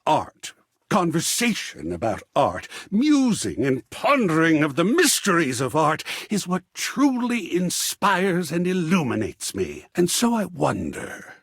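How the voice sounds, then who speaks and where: Husky, wildly expressive theatrical artist. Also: male voice, on the high side, a little slow, no room sound, studio quality, heavily acted. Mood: tender, hopeful. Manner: florid, dramatic, formal phrasing. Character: effusive, sensitive, theatrical male artist, an art-obsessed optimist. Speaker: voice actor playing a video game character. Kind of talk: theatrical video game character dialogue.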